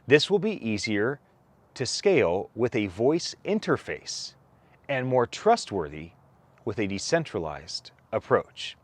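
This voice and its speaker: A man reading a technical document aloud, phrasing deliberately and stressing each item as he goes. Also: on the low side, big pitch swings. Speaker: co-author reading a whitepaper aloud. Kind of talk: read-aloud document narration.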